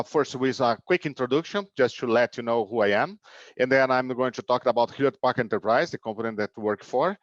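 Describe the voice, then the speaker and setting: A man's voice: medium pitch, no echo. A man in his fifties from Brazil with a Brazilian Portuguese accent, giving an online business keynote with many fillers and "okay?" checks. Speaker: keynote speaker. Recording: dull lo-fi online call audio.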